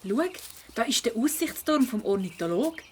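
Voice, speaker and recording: Woman as a lively, animated Swiss schoolgirl. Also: fairly high pitch, moderate pace, slight background noise, lightly acted. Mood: upbeat, coaxing. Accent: Swiss German. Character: bold, cheerful, adventurous schoolgirl detective. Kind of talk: children's audio drama acting.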